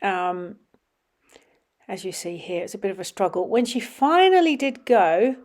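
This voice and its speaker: A middle-aged woman with a slightly sniffly, runny nose, casually commenting over videos of her children riding, with frequent ums and some quiet murmured asides. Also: medium pitch, very animated, a little slow. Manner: chatty, many ums, drops to quiet murmurs while watching. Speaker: vlogger.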